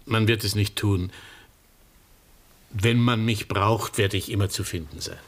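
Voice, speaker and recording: An actor playing a resigned family doctor in a German radio drama, speaking with restrained, formal, evasive courtesy. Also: male voice, fairly low pitch, fairly even intonation, measured pace, close to an everyday voice. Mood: resigned, guarded.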